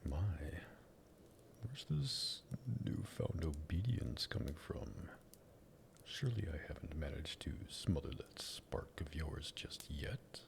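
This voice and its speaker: A man playing a sadistic, possessive vampire master in an ASMR roleplay, teasing and politely menacing, with a breathy, gravelly voice. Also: extremely low pitch, sing-song, takes it slowly, slight background noise, exaggerated voice. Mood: commanding, amused.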